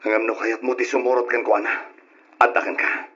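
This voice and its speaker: A man's voice: mid-range voice, level tone, fairly quick, bright, forceful tone, slightly rough. An actor playing a bitter, wounded husband and devoted father in an old-style radio drama, emotional and pained in his delivery. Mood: bitter, hurt. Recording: dull lo-fi radio drama recording.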